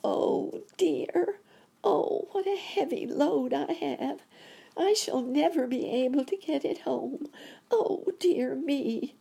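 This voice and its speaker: A woman's voice: sits high, takes it slowly, gravelly, mellow, pitched above the performer's own voice, exaggerated voice. A woman voicing a tiny female ant in a storybook, fretful, polite and plaintive. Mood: distressed, plaintive.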